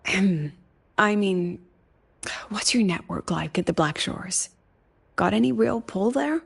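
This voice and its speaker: A shrewd woman who runs an academy, speaking in a coaxing, conspiratorial way. Her mid-pitched voice has a gravelly edge of fry. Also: lively intonation, a little slow, heavily acted. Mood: sly. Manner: coaxing, conspiratorial, slightly awkward. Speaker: academy professor. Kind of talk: video game voice acting.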